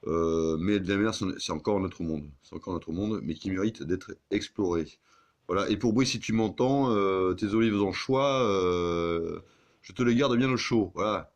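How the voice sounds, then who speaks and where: A man's voice: deep voice, normal speed. A man chatting casually as an amateur radio operator, with crude, joking, sweary banter.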